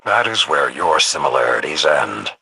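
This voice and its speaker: An actor playing a stern, dignified military commander in a video game, measured and formal. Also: male voice, rumbling low, big pitch swings, vocal fry, bright, forceful tone, slightly rough, no echo, heavily acted.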